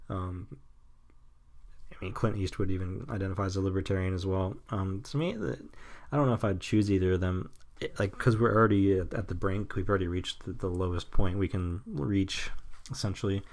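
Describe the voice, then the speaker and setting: A man's voice: low voice, fairly even intonation, a little mellow. A man of twenty-five hosting a solo podcast, rambling through blunt, cynical opinions with many restarts, swearing and nervous chuckles. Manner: halting, full of restarts and nervous laughs. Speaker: podcast host.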